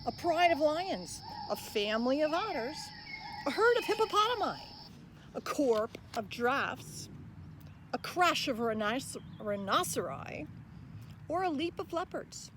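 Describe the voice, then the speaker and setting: A woman's voice: high voice, measured pace. Woman doing a kids book read-aloud, really up and down voice, some background noise. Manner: animated, addressing young listeners directly. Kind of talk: expressive children's picture book read-aloud. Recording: clear background noise, animal sounds.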